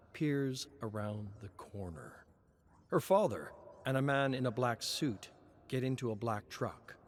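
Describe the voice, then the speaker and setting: A man narrating a story in a measured, expressive reading. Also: on the low side, a little hiss or hum. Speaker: lore narrator.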